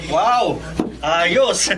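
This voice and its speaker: An actor playing a breezy, flattering friend in a Filipino drama, joking along over a noisy background. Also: mid-range voice, very slow. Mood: jovial, wheedling. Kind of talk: Filipino radio-style drama acting.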